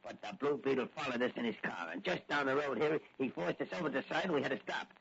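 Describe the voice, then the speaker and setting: A man's voice: mid-range voice, quick pace, gravelly, fairly bright tone, slight background noise. An actor playing a nervous, dim gangster henchman who talks in deferential tough-guy slang in a 1940s radio serial. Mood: nervous, excuse-making. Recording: muffled old 1940 radio recording.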